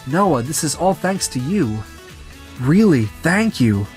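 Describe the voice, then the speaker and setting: Man acting humble, higher-pitched young boss. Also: big pitch swings, takes it slowly, darkish tone, soft background music. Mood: grateful. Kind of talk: scripted manga dub acting.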